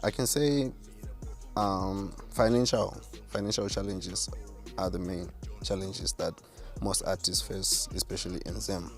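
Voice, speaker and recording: Deep gravelly guy, low voice, cocky about his skills, bit of music under it. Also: fairly even intonation, unhurried. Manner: self-assured, boastful about his skill. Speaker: rapper and artist, guest. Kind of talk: interview answers.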